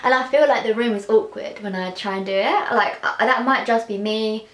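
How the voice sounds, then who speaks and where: A woman's voice: a little high, moderate pace. Woman with a stutter, echoey room. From England. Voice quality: stutter with sound and word repetitions. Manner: open, heartfelt, many fillers. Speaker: vlogger. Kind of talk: candid talk to camera. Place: small bedroom indoors. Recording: echoey bedroom.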